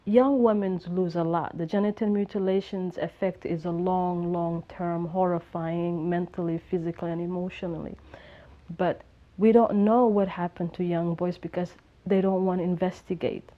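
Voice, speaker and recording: A woman with a Somali accent and a fairly low voice gives personal testimony. Her delivery is earnest and emphatic, in the way of an activist being interviewed. The recording sounds a little muffled. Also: steady tone, normal speed. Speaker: human rights activist.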